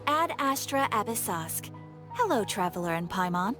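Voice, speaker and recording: A woman's voice: mid-range voice, sing-song, normal speed, over music, natural voice. A woman playing a polite, unflappable guild receptionist in a video game, speaking with formal, precise courtesy. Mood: formal, composed. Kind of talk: video game character acting.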